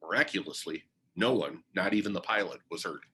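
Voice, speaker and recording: A man's voice: deep voice, a little fast, bright, forceful tone, a little hiss or hum. Man reading a biography aloud. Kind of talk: read-aloud narration of a children's biography.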